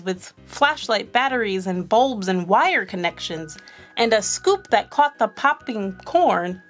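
High-voiced woman reading a picture book aloud. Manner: animated, asks young listeners questions. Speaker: children's storyteller.

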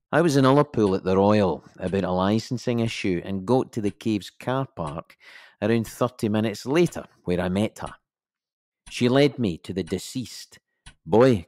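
Low-voiced, dry policeman. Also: a little mellow, dry recording. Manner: measured, official, slips into bluntness. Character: dry, procedural male police officer giving account. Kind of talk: audio drama testimony.

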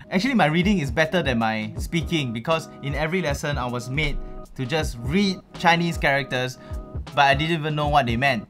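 Young Singaporean guy, vlog host, chatty and animated, studio mic, faint music under him. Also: fairly high pitch, moderate pace. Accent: Singaporean English. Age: young adult. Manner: animated, gestures a lot, chatty asides. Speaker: youtuber host. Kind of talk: casual explanatory vlog. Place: small dark room with LED lights. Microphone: studio mic, headphones, seated at desk.

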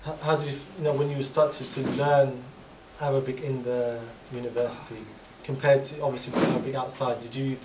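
A man's voice: mid-range voice, flat delivery, fairly quick, hardly any pauses. A man hosting a chat-show style interview, asking questions politely with religious courtesies. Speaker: host, interviewer. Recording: echoey room from far off, audible hiss.

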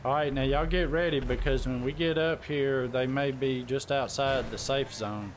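A man's voice: medium pitch, fairly even intonation, moderate pace, low-quality recording. A man chatting casually as he organizes his team during a live game stream. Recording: background game noise on voice chat.

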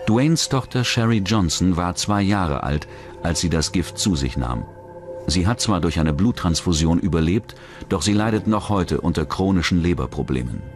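A man's voice: low voice, expressive, normal speed, over music. A man reading scripted true-crime documentary narration as the narrator.

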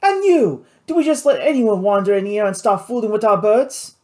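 Casting: male narrator as hot-headed pirate boss, indignant and scolding, raised pitch with wild swings, adventure audiobook. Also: expressive, normal speed, a little mellow. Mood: seething. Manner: indignant, scolding, peppered with French exclamations.

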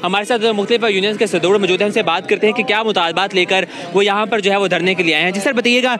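A man's voice: sits high, a crowd in the background. A man reporting live from an outdoor street protest into a handheld mic, repeating filler phrases as he talks. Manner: quick, repetitive filler phrasing. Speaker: field reporter. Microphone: handheld news mic.

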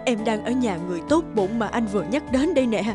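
A middle-aged cartoon mother voiced by an actress speaks with earnest, expressive feeling. Her voice sits on the high side, and music plays clearly behind her. She comes across as grateful and emotional. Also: fast, close to an everyday voice. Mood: relieved. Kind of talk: animated film dubbing. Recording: loud background music.

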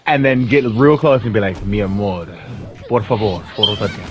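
A man's voice: on the low side, brisk. A man bantering casually with friends in a foul-mouthed, teasing and playfully bossy way. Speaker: gamer chatting with friends. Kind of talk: casual joking chat.

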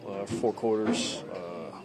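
A young man answering reporters' questions after a game, halting and repeating himself with many fillers, close to handheld mics in a locker room. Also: fairly low pitch, very noisy. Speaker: quarterback at a postgame press conference. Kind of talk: postgame press answers. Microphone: handheld reporter mics at close range.

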